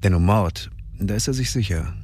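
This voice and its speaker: A man narrating a radio crime drama in a measured storytelling voice. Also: on the low side, darkish tone, slight background noise. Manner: measured, present-tense storytelling.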